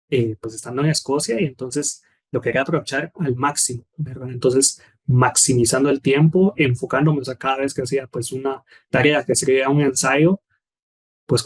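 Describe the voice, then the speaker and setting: A young man with a Guatemalan accent speaks at a middle pitch, calm and unhurried. He often repeats words as he finds his footing. He closes his points with a small tag question. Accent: Guatemalan Spanish. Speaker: guest, former scholarship student. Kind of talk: relaxed interview answers.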